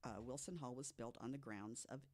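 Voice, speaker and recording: Podcast co-host, mid-pitched, deliberate delivery from notes, conversational with emphatic asides. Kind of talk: storytelling from notes to co-hosts.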